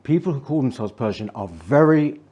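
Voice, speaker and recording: A man's voice: mid-range voice, expressive, fairly quick, slightly rough, dull audio. An older man hosting an interview, asking questions with frequent hesitant restarts. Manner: hesitant, many restarts and affirmations. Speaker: interview host.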